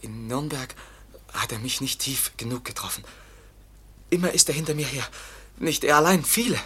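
A man's voice: mid-range voice, animated, normal speed, sharp tone, husky. An actor playing a fearful, childlike young man in a radio drama, speaking plaintively and anxiously. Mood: frightened.

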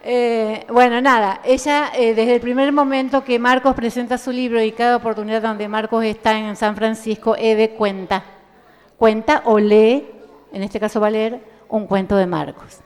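A woman presenting a book at a live event, speaking casually with fillers and then reading a prologue aloud in measured phrases. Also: on the high side, fairly even intonation, smooth. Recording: reverberant hall.

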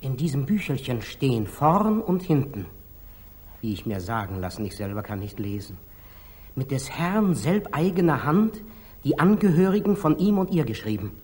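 An actor playing a humble, devout, simple-hearted lay brother in a 1940s German radio drama, gentle and plain-spoken. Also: male voice, mid-range voice, big pitch swings, moderate pace, slightly rough. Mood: earnest, recollecting. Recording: faintly noisy 1940s radio recording.

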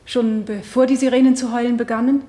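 A woman's voice: a little high, flat delivery, a little fast, warm tone, roomy sound, lightly acted. An actress playing the earnest wife of an accused man, giving simple, sincere testimony in a German radio drama. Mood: earnest recounting.

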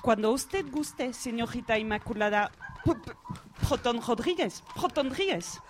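A woman's voice: a little high, normal speed, a little hiss or hum, natural voice. A woman playing a fussy astronomy professor in a comic radio play, formal but stumbling over her words. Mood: halting, formal. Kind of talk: radio theatre, comic interview.

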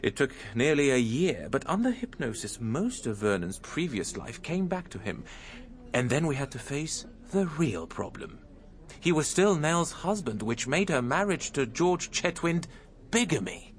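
An actor playing a composed, thoughtful English gentleman telling his account in a radio drama, in a measured, explanatory way. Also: on the high side, very animated. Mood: grave, reflective. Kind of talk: radio drama acting, storytelling.

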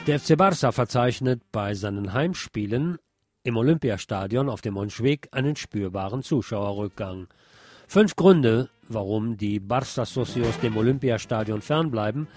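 A man reads a news report in a measured way and lifts his voice when he reads out prices and headings. His pitch sits in the middle range. A clear music bed plays under him. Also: darkish tone. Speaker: news presenter. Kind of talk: read radio news report.

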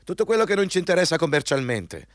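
A man's voice: a little high, sing-song, fast talker, no room sound, clean studio sound. An actor in an Italian radio play playing an overbearing, condescending male company boss who hammers out his orders pompously. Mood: bossy, condescending. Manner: pompous, insistent, hammering repeated commands.

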